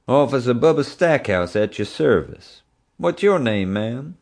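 A man's voice: mid-range voice, sing-song, a little slow, a little mellow, voice pushed up. A man voicing a policeman who tries to sound suave and polite. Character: smooth-talking man officer trying to sound suave.

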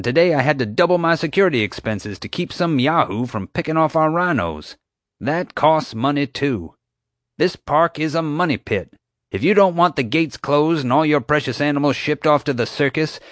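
A man's voice: mid-range voice, sing-song, moderate pace, no echo, clean studio sound, pitched above the performer's own voice, close to an everyday voice. A man voicing a blustering middle-aged male park owner who talks in a folksy, pushy, defensive way.

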